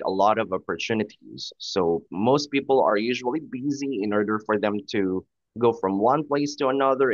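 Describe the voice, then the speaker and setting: A man's voice: mid-range voice, dry recording, lo-fi sound. Patient male English tutor on a call. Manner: encouraging, patient, gives example phrases. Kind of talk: one-on-one conversation lesson.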